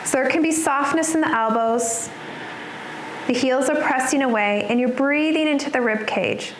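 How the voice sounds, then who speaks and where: Woman yoga teacher, slow soothing cues w pauses, kinda high voice, echoey room. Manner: soothing, unhurried cueing with pauses. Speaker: yoga instructor. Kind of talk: guided yoga class instruction. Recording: roomy echo with background noise.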